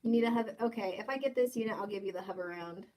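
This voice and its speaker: A woman's voice: mid-range voice, very fast, a little mellow, low-quality recording. A woman in her twenties hosting a casual livestream, reading chat comments and joking playfully with viewers. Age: 20s. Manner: playful, teasing, jokey asides. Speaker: livestream host.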